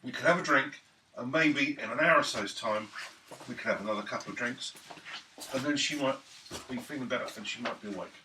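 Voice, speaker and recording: A man's voice: mid-range voice, brisk, pushed and bright. A man bantering casually and jokily as a player in a tabletop role-playing game. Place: room around a gaming table. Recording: roomy, distant mic, faint noise.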